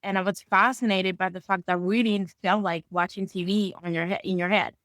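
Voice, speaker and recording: A woman's voice: medium pitch, fairly even intonation, fairly quick, a bit bright, no echo, studio quality. A woman in her thirties from Venezuela, a native Spanish speaker, telling a friendly story as a guest author. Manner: friendly, storytelling, uneven pace. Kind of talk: casual interview chat.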